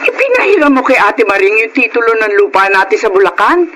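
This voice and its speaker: A woman's voice: on the high side, big pitch swings, fast. A Filipino woman acting an indignant middle-aged wife and mother in an emotional radio drama, scolding and fed up with her in-laws. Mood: indignant, upset.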